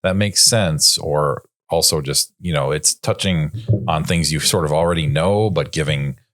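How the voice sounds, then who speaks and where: A man in his late forties chatting reflectively as a podcast co-host, thinking aloud in a wry, halting way. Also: extremely low pitch, a bit bright, no room sound, clean studio sound. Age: late 40s. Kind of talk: casual reflective panel chat.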